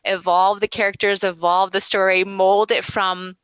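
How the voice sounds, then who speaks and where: A woman's voice: medium pitch, flat delivery, normal speed, fairly bright tone, clear, resonant tone, dry recording. A woman moderating a panel as a game writer, speaking in an enthusiastic, appreciative and articulate way. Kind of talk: panel discussion.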